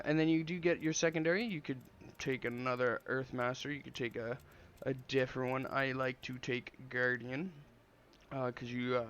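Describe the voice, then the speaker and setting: Man with a slight cold, smooth mid-pitched voice, rambling gameplay commentary, dull lo-fi audio. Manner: relaxed, rambling, many ums and uhs. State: has a slight cold, sniffling. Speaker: gameplay video creator.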